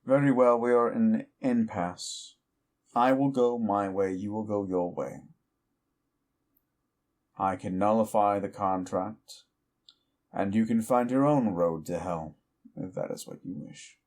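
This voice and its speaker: A man voicing an ancient, petty male demon who mocks a mortal in a slow, deep drawl, in audio roleplay. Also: darkish tone, lightly acted. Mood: taunting.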